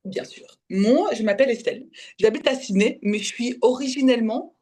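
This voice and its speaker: A woman's voice: a little high, mellow. A woman in her twenties from Belgium, giving a casual personal account, often correcting herself and slipping in English words. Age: 20s. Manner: halting, self-correcting, slips in English words. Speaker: guest, women's spiritual development guide. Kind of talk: casual personal testimony in conversation.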